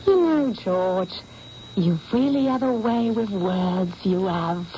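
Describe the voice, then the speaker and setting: A woman's voice: mid-range voice, slow, warm tone, natural voice. A sincere, curious young model speaks, played by a woman in a mid-range voice. It is carried on a dull old radio broadcast with faint hiss. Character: Yvonne, young female artist's model. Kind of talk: 1970s radio drama acting.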